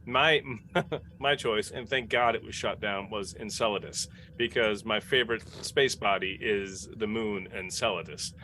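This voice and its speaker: A man's voice: on the low side, a little slow, bright, forceful tone. Man telling stories over background noise. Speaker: podcast cast member answering fan questions. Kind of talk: casual group chat, telling anecdotes. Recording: clearly audible background noise.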